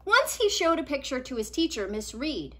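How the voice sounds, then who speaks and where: A woman in her thirties reading a children's picture book aloud expressively, with deliberate dramatic emphasis. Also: high-pitched, very animated, bright, forceful tone. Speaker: read-aloud storyteller. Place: small living room at home, indoors.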